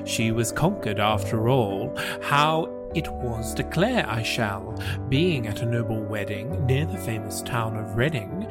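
Slow, expressive man reciting over music. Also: mid-range voice. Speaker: podcast host and poetry reader. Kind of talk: poetry reading, scripted narration. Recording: music behind the voice.

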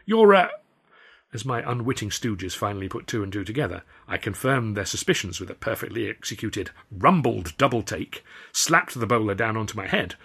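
Husky, bright-voiced man narrating. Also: on the low side, expressive, a little fast. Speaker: author reading own novel. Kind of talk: animated first-person audiobook narration with character voices.

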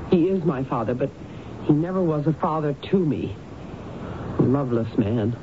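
A thin, noisy vintage radio broadcast carries a troubled wife. She speaks haltingly and reluctantly, with an anxious edge suited to old-fashioned mystery drama. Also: sits low, lively intonation, lo-fi sound. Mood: bitter, sad. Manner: hesitant, halting.

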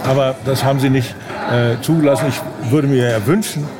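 A man being interviewed in casual conversation, speaking haltingly as he searches for his words. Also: mid-range voice, steady tone. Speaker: interview guest, audio drama director. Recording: busy crowd around the voice. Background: chatter of a crowd.

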